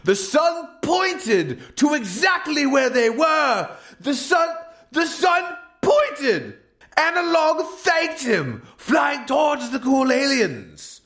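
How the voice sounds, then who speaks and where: A man's voice: very high-pitched, gravelly, fairly bright tone. A man narrating an absurd comedic tale as a storyteller, with a theatrical, exaggerated delivery.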